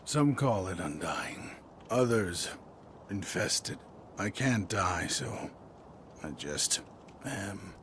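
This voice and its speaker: An actor playing a weary, undying swordsman in a video game, solemn and formal with halting phrases. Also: sits low, lively intonation, noisy background, heavily acted. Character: weary, solemn undying male swordsman seeking death in battle. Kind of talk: video game cutscene acting.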